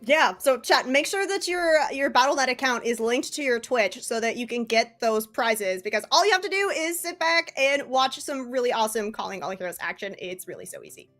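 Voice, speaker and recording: A woman's voice: fairly high pitch, lively intonation, a little fast, few pauses, a bit bright, faint music behind. A woman presenting a live esports broadcast casually, upbeat and chatty as she addresses the viewers. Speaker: esports broadcast host and caster.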